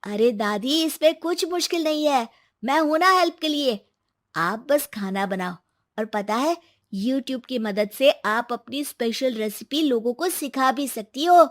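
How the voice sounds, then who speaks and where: Woman voicing a high-pitched, earnest young boy. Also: animated, measured pace. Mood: eager, reassuring. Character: young grandson, earnest, stubborn, devoted to his grandmother. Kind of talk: scripted animated moral story dialogue.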